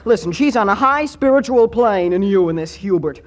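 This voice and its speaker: A man's voice: high voice, lively intonation, normal speed. A scrappy teenage brother in an old radio comedy. He talks brash and slangy, hotheaded and lovestruck. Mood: indignant. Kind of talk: 1940s radio comedy acting.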